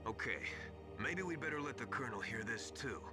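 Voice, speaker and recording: A man's voice: mid-range voice, animated, lightly acted. Man acting shrewd military mentor over music. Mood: grave, confiding. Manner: measured, explanatory. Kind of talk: dramatic video game voice acting. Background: game music.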